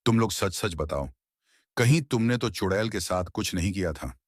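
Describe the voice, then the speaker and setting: Village tantric, voiced by a man: deep, solemn, sure of himself, declaring in a horror tale. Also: animated, normal speed, dry recording, clean studio sound. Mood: stern. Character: self-assured village tantric holy man. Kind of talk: dramatized story acting.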